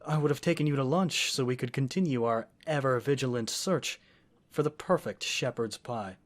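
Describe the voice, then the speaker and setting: A man playing an affectionate, scholarly wizard boyfriend in an intimate fantasy roleplay audio, speaking gently in eloquent, formal phrases. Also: mid-range voice, normal speed, lightly acted. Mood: affectionate, cheerful.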